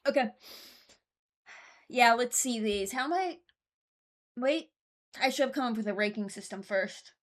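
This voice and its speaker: A woman's voice: fairly high pitch, a little slow, long pauses. Female YouTuber for casual ranking commentary; frank, rambling, hesitant, mock-serious. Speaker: YouTuber ranking game voice lines.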